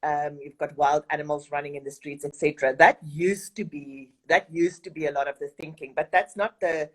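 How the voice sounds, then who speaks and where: A woman's voice: deep voice, fairly even intonation, brisk. A middle-aged South African woman whose first language is Afrikaans, answering interview questions with many fillers and restarts. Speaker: resident director of study abroad program.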